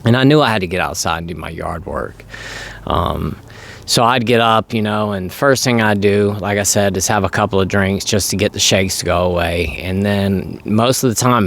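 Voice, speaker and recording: A middle-aged man vlogging in a casual, rambling way, his voice raspy and going from allergies, with frequent throat clearing. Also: sits low, a bit bright. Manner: rambling, conversational. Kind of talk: casual personal monologue.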